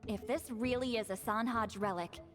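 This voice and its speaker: A woman's voice: on the high side, fairly even intonation, moderate pace, lightly acted. A woman voicing a shrewd, no-nonsense grandmother clairvoyant in a video game, brusque, wry and decisive. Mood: firm. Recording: music behind the voice. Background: game music.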